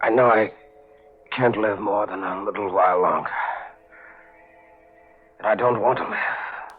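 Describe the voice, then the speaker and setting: An actor playing a dying, tormented man, telling his story haltingly in a 1940s radio drama style. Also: fairly low pitch, fairly even intonation, soft background music. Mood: anguished, weary. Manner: halting, haunted narration. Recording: noisy, muffled 1940s radio broadcast.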